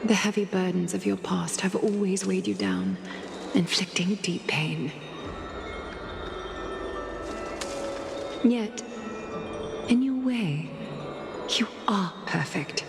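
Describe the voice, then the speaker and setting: A composed, regal woman leader from a fantasy game, speaks in solemn, formal phrases. Her lowish voice is tender and measured, ringing with heavy echo over clearly audible music. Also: sing-song, exaggerated voice. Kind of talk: scripted dramatic game voice acting, monologue. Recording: echoey hall reverb with music behind.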